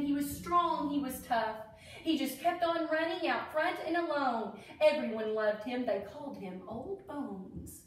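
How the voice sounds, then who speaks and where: A woman reading a story aloud for children, speaking expressively and adding explanatory asides to her young listeners. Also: high-pitched. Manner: animated, expressive, addressing children. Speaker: children's story-time reader, educator. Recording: echoey room, distant mic.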